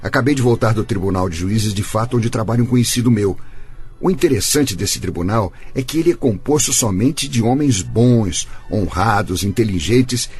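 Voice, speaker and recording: A man's voice: lowish voice, expressive, moderate pace, a little mellow, natural voice. An actor playing a genial, long-winded male lawyer, courteous and effusive, in a radio drama. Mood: delighted, effusive.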